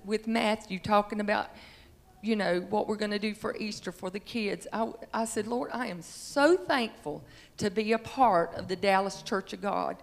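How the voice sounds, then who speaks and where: Woman in her 50s giving church testimony, bit raspy, halting but earnest, handheld mic, echoey big hall. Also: mid-range voice. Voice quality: slightly raspy. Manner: earnest, halting, emphatic on key phrases. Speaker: church member giving testimony before singing. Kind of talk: personal faith testimony to a congregation. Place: large church sanctuary. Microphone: handheld microphone. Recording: reverberant church hall through handheld microphone.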